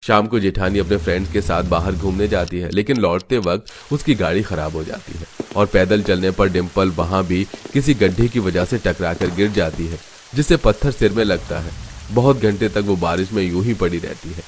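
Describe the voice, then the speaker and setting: Very low male voice, story narrator, scripted delivery, faint background noise. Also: moderate pace.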